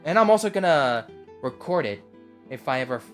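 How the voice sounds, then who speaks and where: A woman's voice: low-pitched, very animated, normal speed. A woman livestreaming a video game with casual, reactive commentary. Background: game music.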